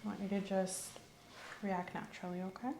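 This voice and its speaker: Soft-spoken woman in a medical roleplay. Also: medium pitch, creaky, a little hiss or hum. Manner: calm, slow, clinical instructions with whispered stretches. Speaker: doctor in a roleplay. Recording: roomy echo.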